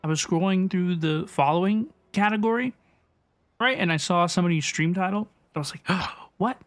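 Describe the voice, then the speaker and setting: High-voiced man chatting on a livestream. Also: moderate pace. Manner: chatty, informal, repeats phrases. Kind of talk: casual livestream chat and game reaction. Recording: faint game music behind voice. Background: video game music.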